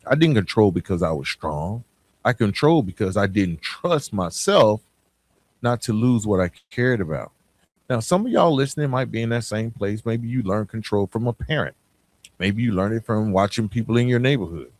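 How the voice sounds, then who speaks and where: An American man hosting a podcast, giving a reflective motivational monologue in an earnest, emphatic way with deliberate pauses. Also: lowish voice, animated, no room sound. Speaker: podcast host.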